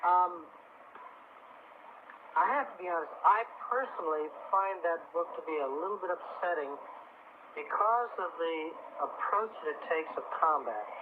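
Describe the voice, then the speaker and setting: A man's voice: on the high side, lively intonation, normal speed. A man speaking as an expert guest on live radio, earnestly explaining things to a caller. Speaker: studio guest, crystal expert. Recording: noisy, phone-like old radio broadcast tape.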